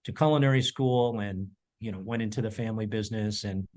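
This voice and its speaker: A middle-aged man, a chef whose first language was German, telling his family story in a relaxed interview with many ums and repeated words. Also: on the low side, a little fast. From United States (Colorado). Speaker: chef and culinary educator, interview guest.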